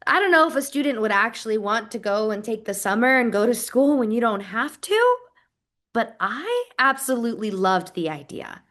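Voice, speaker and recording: A woman's voice: a little high, a bit bright. A woman playing a friendly, grateful college program associate, chatting enthusiastically in a first-person stage narrative. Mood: grateful, enthusiastic. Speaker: performer portraying a university program associate. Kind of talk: dramatized first-person interview narrative, conversational.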